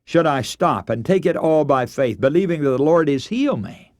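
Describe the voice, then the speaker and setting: A man's voice: on the high side, lively intonation, moderate pace, darkish tone, dry recording, studio quality. A man preaching a radio sermon, earnest and emphatic, telling stories and posing rhetorical questions.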